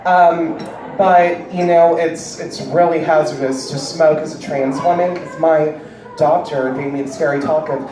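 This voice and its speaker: A woman with a deep voice doing a stand-up comedy set with a wry, deadpan delivery, heard on stage before a live audience. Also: measured pace. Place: large indoor venue with live audience. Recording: echoey hall recorded from far off, loud crowd. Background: audience cheering and laughing.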